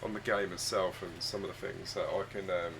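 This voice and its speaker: A man with a husky, croaky voice, hoarse from a chest cold, chatting casually and rambling hesitantly while streaming a game from home on a desk microphone. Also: low-pitched, level tone, brisk, fairly bright tone, a little hiss or hum. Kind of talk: casual live-stream chat. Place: home room with his dog.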